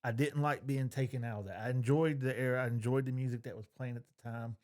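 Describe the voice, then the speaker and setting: A middle-aged man with a mid-range voice. He talks casually and bluntly, circling back to his opinion in a relaxed podcast conversation. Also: medium pitch, fast talker. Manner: blunt, repeats his point. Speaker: podcast co-host.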